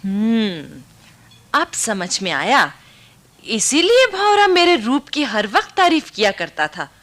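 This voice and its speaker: Pragmatic young best friend, slightly vain, fairly high voice, lively intonation, knowing, radio drama. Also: female voice, takes it slowly, exaggerated voice. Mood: knowing, dismissive. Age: young adult. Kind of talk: Hindi radio play acting.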